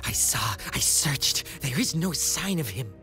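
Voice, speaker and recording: A woman's voice: extremely low pitch, sing-song, unhurried, a bit bright, faint music behind, full character voice. A woman playing a solemn, intense warrior messenger in a fantasy audio drama. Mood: grave. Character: grave, fervent female warrior messenger bearing bad news.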